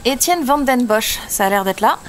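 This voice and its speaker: Woman as dry, teasing young woman, higher voice. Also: lively intonation, normal speed, a little hiss or hum, lightly acted. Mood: casual, determined. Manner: sardonic, casual, polite with strangers. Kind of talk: comedic audio drama acting.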